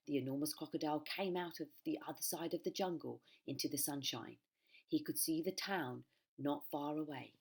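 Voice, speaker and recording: Low, husky-voiced woman reading a children's story. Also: a little mellow. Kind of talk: expressive storybook narration for children.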